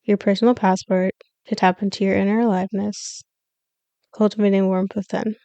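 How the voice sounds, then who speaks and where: Calm lady doing guided meditation, mellow soft-edged tone, very dry close sound, soothing and measured. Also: mid-range voice, no room sound. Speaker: yoga nidra meditation guide.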